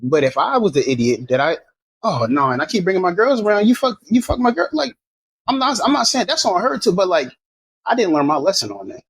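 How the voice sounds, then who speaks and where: Raspy-edged guy, a bit high, frank and rambling, lots of cursing and restarts. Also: sing-song, fairly quick. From Maryland, USA. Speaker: podcast guest. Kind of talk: casual podcast chat.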